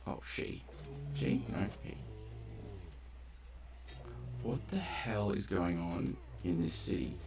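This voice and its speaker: Low-pitched gamer with swooping delivery. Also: male voice, sing-song, slow pace, gravelly, long pauses, a little mellow. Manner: reacts aloud, muttering asides and exclamations. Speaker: gamer narrating a playthrough. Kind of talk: casual gameplay commentary.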